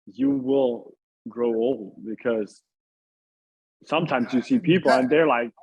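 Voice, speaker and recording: A man's voice: mid-range voice, lively intonation, slow, darkish tone, breathy edge. A man from Italy chatting casually as an interview guest, hesitant and repeating his phrases, with thin phone-like sound. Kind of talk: casual chat.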